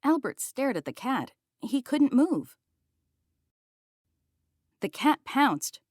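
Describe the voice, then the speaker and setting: A woman's voice: sits high, very animated, takes it slowly, no room sound, clean studio sound. A woman narrating a children's picture book as a read-aloud.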